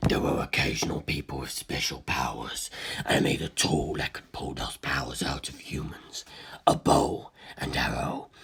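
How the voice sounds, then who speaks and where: A narrator voicing an ancient, arrogant superhuman male villain in an audiobook, lecturing humans with cold contempt in a rasping, breathy growl. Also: rumbling low, a bit bright, voice pushed down, full character voice. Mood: cold, inquisitive. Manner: condescending, lecturing, disdainful. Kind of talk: audiobook character dialogue.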